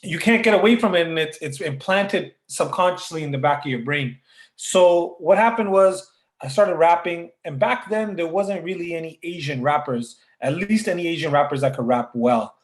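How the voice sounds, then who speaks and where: A man from Canada tells his story in a loose, rambling way, with plenty of filler words. His voice sits a bit high and comes through an echoey room. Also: moderate pace. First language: Punjabi. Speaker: guest rapper. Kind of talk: casual interview over a call.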